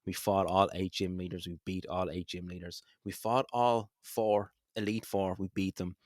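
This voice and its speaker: A man's voice: deep voice, flat delivery, normal speed, no room sound, studio quality. A man co-hosting a podcast in casual, effusive chat, often repeating his phrases for emphasis. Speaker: podcast co-host.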